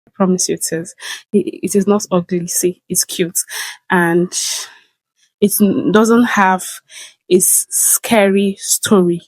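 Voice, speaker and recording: A woman's voice: mid-range voice, fairly even intonation, slow pace, no room sound, poor audio. A young woman with a heavy stammer, earnestly giving a viewer advice in a casual vlog. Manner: heavy stammer with repeated sounds and blocks; earnest, speaks directly to a viewer by name. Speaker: content creator.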